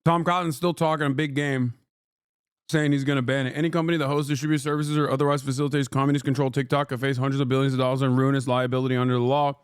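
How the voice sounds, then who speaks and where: A man's voice: mid-range voice, flat delivery, rapid-fire, smooth, dry recording. Male streamer, clean dry close sound. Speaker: streamer and political commentator. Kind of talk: casual live reaction commentary.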